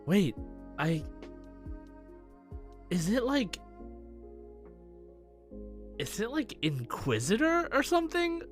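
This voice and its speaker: A man's voice: high-pitched, wildly swooping pitch, takes it slowly, long pauses, faint music behind. A man co-hosting a podcast, chatting casually as he answers quiz questions. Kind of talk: casual chat, reacting and guessing.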